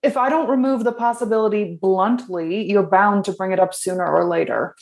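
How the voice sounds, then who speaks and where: A woman playing a tough, cynical starship captain with a blunt, deadpan delivery in a comedic sci-fi radio play. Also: mid-range voice, moderate pace, close to an everyday voice. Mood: blunt, wry.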